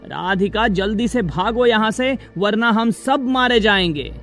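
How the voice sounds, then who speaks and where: A man's voice: high voice, unhurried, a bit bright, faint music behind. A man playing a husband and father in a children's cartoon story, earnest and emphatic. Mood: alarmed. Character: reassuring husband and father, alarmed under threat.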